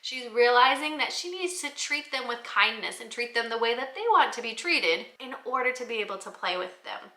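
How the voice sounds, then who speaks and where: A woman's voice: a little high, expressive, fairly quick, hardly any pauses, sharp tone, echoey room. A woman giving an informal instructional talk as an educator, in an explanatory, conversational way. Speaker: educator presenting classroom book recommendations.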